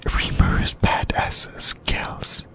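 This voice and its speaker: A whispered voice speaks very slowly, as in an ASMR video. The delivery is halting and comes in short phrases, like a YouTuber softly talking through an anime discussion.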